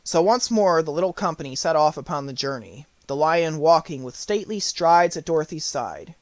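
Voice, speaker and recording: A man narrates a storybook for an audiobook. His voice is a little higher than most men's. The reading is scripted, and the recording is dry with little room sound. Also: animated, normal speed, no echo.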